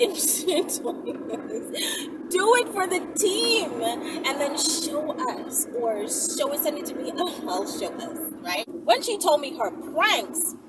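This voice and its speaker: A woman telling stories to her viewers in a casual, playful, slangy and animated way while riding in a vehicle. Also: high voice. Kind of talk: casual chatty storytelling. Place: moving vehicle while driving. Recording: loud vehicle noise, distant mic. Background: loud road and vehicle noise.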